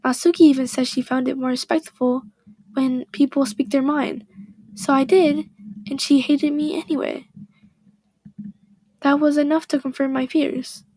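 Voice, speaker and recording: A woman's voice: sits high, little pitch movement, normal speed, long pauses, warm tone, no echo, natural voice, poor audio. A woman doing a shy, anxious teen girl. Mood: ashamed. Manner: hesitant, self-critical. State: slightly hoarse.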